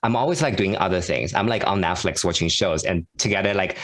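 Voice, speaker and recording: Low-voiced, frank, rambling man. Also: brisk, fairly bright tone. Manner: frank, rambling, self-mocking. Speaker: guest YouTuber. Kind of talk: casual podcast chat.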